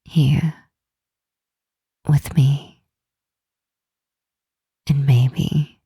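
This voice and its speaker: Woman doing a soft breathy demon queen for asmr, low n slow, solemn but caring. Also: dry recording, studio quality, full character voice. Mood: tender. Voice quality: soft, breathy, half-whispered. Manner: slow, tender, solemn, with deliberate pauses. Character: powerful demon queen, gentle, earnest, protective of the listener. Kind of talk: ASMR roleplay, soft intimate monologue to the listener.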